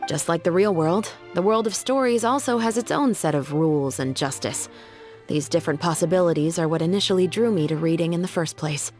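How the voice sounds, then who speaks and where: An actress voicing a composed, principled young female law officer in a game cutscene, speaking in an earnest, deliberate, formal way. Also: on the low side, a little mellow, natural voice. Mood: calm, thoughtful. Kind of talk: dramatic video game cutscene acting. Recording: long reverb, music underneath.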